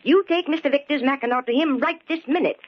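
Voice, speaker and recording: A woman's voice: high voice, fast talker, lightly acted. An actress playing a bossy, mothering older housekeeper with folksy, scolding speech in a radio play. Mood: scolding, fretful. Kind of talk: old-time radio character acting. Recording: dull, lo-fi radio drama recording.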